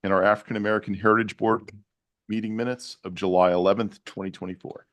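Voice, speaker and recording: A man chairing a city commission meeting in a brisk, procedural way. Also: on the low side, flat delivery, a bit bright, dry recording. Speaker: presiding officer.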